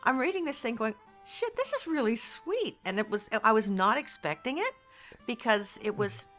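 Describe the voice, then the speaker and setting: A woman's voice: a little high, big pitch swings, normal speed, a bit bright, faint music behind. A woman speaking candidly and expressively as an interviewed voice actor in a casual online call.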